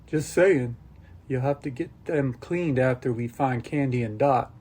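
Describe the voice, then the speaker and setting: A man's voice: mid-range voice, unhurried, a little mellow, husky. A man voicing a blunt, caring but exasperated boy with a colloquial, sardonic delivery in an audiobook. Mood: concerned. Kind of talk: audiobook character dialogue.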